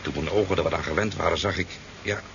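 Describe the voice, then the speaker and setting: Low-voiced rambling fisherman. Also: fast, vocal fry. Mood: animated storytelling. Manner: hesitant, rambling storytelling with stammers. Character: talkative, easygoing sport fisherman, a boozy eyewitness. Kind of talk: 1970s Dutch radio drama acting. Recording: dull, noisy 1970s radio recording.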